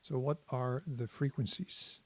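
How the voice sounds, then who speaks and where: A man giving a casual hands-on tutorial as a synthesizer demonstrator, thinking aloud in an unhurried, explanatory way. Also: mid-range voice, steady tone.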